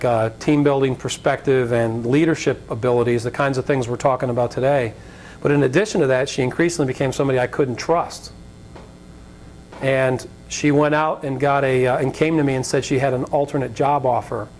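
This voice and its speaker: An American man gives an informal guest lecture in a slightly raspy voice of ordinary pitch. He is conversational and jokey, wandering through anecdotes. The live recording carries a faint rumble of background noise. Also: a little mellow, poor audio. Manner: conversational, joking, digressive. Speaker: guest speaker, business leader. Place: college lecture event before an audience.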